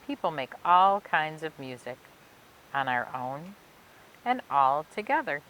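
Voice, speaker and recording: A woman reading a children's picture book aloud for a library storytime, expressively and phrase by phrase with emphatic pauses. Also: low-pitched, sing-song, fairly bright tone, poor audio. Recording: clear background noise and hiss.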